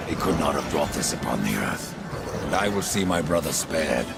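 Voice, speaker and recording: Very deep, gravelly grim rider. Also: male voice, big pitch swings, normal speed, over loud music, exaggerated voice. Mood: grim resolve. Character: grim, defiant, armored rider of death, male. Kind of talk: video game cutscene acting.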